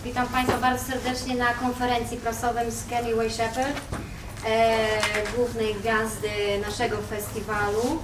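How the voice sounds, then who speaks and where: A woman's voice: a little high, little pitch movement, normal speed. A woman who is a native Polish speaker with a Polish accent, formally and somewhat hesitantly moderating a press conference and acting as translator. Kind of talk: announcing to a press room. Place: indoor press conference room. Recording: echoey room, distant mic, background noise.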